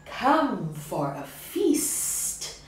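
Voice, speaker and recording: Woman reading as a whispery male snake, very slow, echoey. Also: on the low side, big pitch swings, a little hiss or hum, exaggerated voice. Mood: hissing. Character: sly hissing male snake, slithery and low. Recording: echoey room, distant mic, faint ambience.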